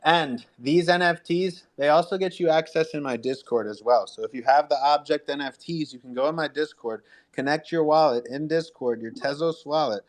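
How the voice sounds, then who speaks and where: A man's voice: on the high side, moderate pace, hardly any pauses. A man hosting a promotional livestream as a music artist, talking in a hyped, salesy way straight to his listeners. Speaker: music artist and radio host.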